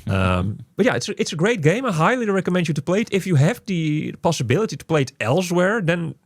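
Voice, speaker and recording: A man hosting a casual game review, speaking haltingly and often repeating his words. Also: a little high, big pitch swings, no room sound, studio quality. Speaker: podcast host reviewing a video game.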